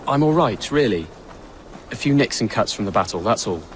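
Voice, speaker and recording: A man's voice: on the low side, animated, moderate pace, slight background noise, natural voice. An actor playing an earnest young prince after his first battle. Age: young adult. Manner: earnest, eager. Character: young prince, eager and brave.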